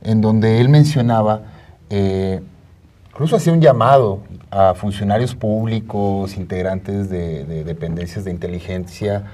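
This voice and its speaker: Interviewer: male, 30s, mellow, lowish, a touch off-mic. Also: unhurried, recorded from a distance. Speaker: interviewer, co-host. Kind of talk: conversational studio interviewing. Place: TV studio, indoors, round table. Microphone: lapel mic.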